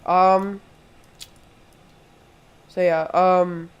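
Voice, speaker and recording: A man's voice: high voice, little pitch movement, drawn-out words, smooth, muffled recording. A congested young man, sick with a throat infection, streaming from home and chatting through each step of a flight simulator session at his desk mic. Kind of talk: casual live play-by-play.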